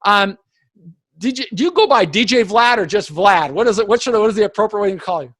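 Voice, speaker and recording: A man with a fairly high voice hosts an interview, emphatic and motivational as he sums up lessons for his listeners. The sound is dry and close, over a video call. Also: animated, fairly quick, a bit bright, no echo. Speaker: host.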